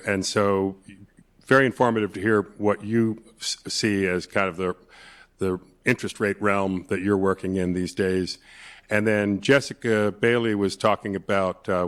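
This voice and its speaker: A man in the audience at a conference, a property developer asking the panel questions with many hesitations. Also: low voice. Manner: hesitant, many fillers while framing a question. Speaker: audience member, multifamily developer.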